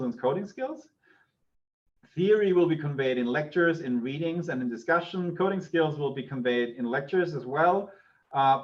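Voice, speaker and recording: A middle-aged Austrian man with a German-speaking background gives an informal university lecture. His voice sits at an ordinary male pitch, and the online audio is somewhat muffled. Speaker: university lecturer, associate professor.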